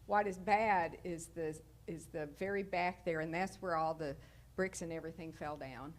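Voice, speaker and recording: A woman's voice: low-pitched, steady tone, normal speed, a little hiss or hum. Chatty woman at a meeting. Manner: chatty, folksy, repeats herself. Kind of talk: informal presentation at a public meeting. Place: city council meeting room.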